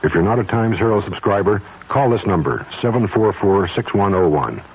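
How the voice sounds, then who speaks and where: Lively-pitched American radio ad announcer. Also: male voice, normal speed, slightly rough. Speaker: commercial announcer. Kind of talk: radio ad read. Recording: narrowband old radio with faint noise.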